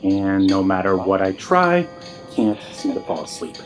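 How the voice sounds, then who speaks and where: An actor playing a weary, sardonic struggling musician who cannot sleep, in an audio drama. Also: male voice, deep voice, big pitch swings, normal speed, soft background music. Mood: anxious, weary. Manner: sardonic, blunt, hesitant. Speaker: caller to radio show.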